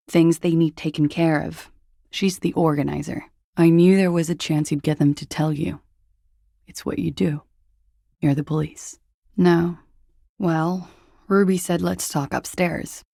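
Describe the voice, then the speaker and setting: An actress playing a weary, guarded young female corporate spy in a video game, with a smoky, deadpan, confessional delivery. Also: deep voice, creaky, dry recording, studio quality, heavily acted. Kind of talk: video game character dialogue.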